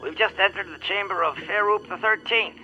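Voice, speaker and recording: A man playing a fretful expedition leader who scolds his sidekick in a radio play, excitable and fussy. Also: sits high, a little fast, lo-fi sound, a little hiss or hum. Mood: cautioning.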